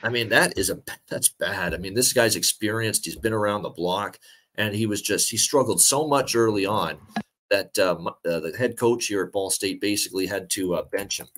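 A man's voice: fairly low pitch, moderate pace. A young man co-hosting a sports betting show, talking steadily over a video call with lots of filler words. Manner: steady, talkative, many "uh" fillers. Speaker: sports betting podcast co-host. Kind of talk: casual sports betting analysis. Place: home room, indoors.

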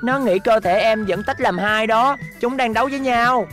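A woman's voice: on the high side, moderate pace, a bit bright, soft background music, natural voice. A woman voicing a brave, earnest young robot boy hero in a dubbed children's cartoon. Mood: calm.

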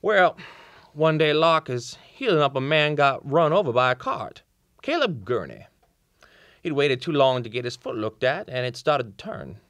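An actor playing a kindly old small-town Southern country doctor in a radio play, with a folksy, drawling storyteller's manner. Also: male voice, mid-range voice, sing-song, moderate pace, a bit bright, no room sound. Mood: folksy storytelling. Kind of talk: old-time radio drama acting. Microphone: shared vintage-style studio microphone.

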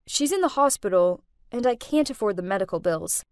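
A woman voicing a young man, a hurt boyfriend who talks hesitantly, then gruffly. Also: medium pitch, animated, a little fast, no echo, studio quality, pitched below the performer's own voice, lightly acted. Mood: hesitant pleading. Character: man, young adult boyfriend, wounded and curt.

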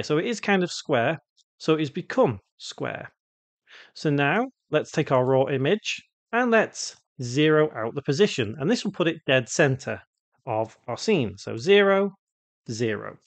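Higher-voiced man presenting a tutorial. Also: dry recording. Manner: casual, instructional. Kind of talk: unscripted step-by-step software tutorial narration.

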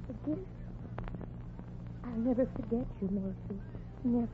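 A woman's voice: on the high side, a little slow, close to an everyday voice. An actress playing a naive, emotional, earnest small-town woman in a 1940s radio comedy. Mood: heartbroken, grateful. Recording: muffled, noisy old radio recording.

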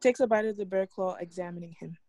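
A woman's voice: medium pitch, fast, mellow. A woman reading scripted stage directions for a film table read over a video call.

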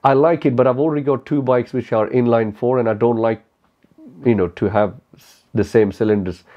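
A man in his forties with an Indian English accent, speaking candidly to camera in a casual question-and-answer video, halting with many fillers. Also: medium pitch, fairly even intonation. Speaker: motorcycle YouTuber. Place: small cozy room indoors. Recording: slightly dull lo-fi audio.

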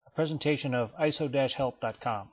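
A man narrates a training slideshow in a steady, explanatory manner, his voice sitting at an ordinary middle pitch. The recording sounds slightly dull and lo-fi, like a plain home voice-over. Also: slightly rough. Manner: measured, even explanatory delivery. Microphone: voice-over, not recorded in pictured place.

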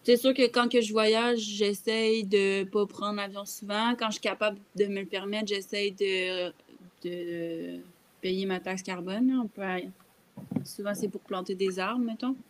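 A woman's voice: mid-range voice, steady tone, a little slow, a little hiss or hum. Young quebec woman, casual podcast chat over video call, mid-range voice. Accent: Québécois. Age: young adult. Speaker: podcast panelist. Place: room at home.

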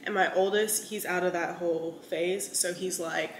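A woman's voice: on the low side, steady tone, moderate pace. Chatty woman vlogging in an echoey kitchen. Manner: chatty, rambling, many fillers. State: scratchy throat, clearing it. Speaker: lifestyle vlogger. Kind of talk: casual chat to camera. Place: large bright home kitchen. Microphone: camera on a counter, mid-shot. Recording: echoey kitchen with faint room noise.

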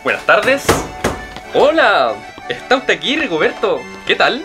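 A breezy young suitor in a radio drama. His showy delivery swoops dramatically up and down in pitch, with music clearly audible behind him. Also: very animated, unhurried. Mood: breezy, suave.

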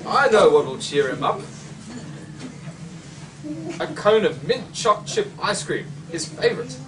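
A young man from Australia reading a children's picture book aloud, expressively, with dramatic pauses and exclamations. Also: high-pitched, big pitch swings. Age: young adult. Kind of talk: animated children's storybook reading. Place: library, among bookshelves. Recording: distant mic, noisy room ambience.

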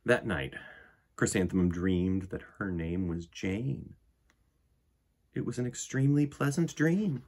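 A man in his forties narrating a children's picture book theatrically, with dramatic pauses and emphasis. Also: lowish voice, a little mellow. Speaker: storyteller reading a picture book. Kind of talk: animated children's storybook narration. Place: small indoor room with bookshelves.

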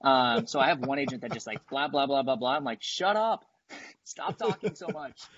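A man's voice: mid-range voice, moderate pace, fairly bright tone, dry recording. Man in his thirties, from San Diego, guest, matter-of-fact, earbud mic, slightly muffled. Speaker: guest, team leader. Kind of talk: casual video-call interview. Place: home dining room. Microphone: earbuds on video call.

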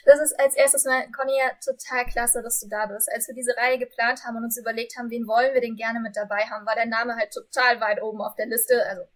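Young woman interviewer, higher-pitched voice. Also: little pitch movement, quick pace, hardly any pauses, a bit bright. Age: 20s. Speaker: interviewer, host. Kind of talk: informal chatty interview. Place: indoors in front of bookshelves. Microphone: video call.

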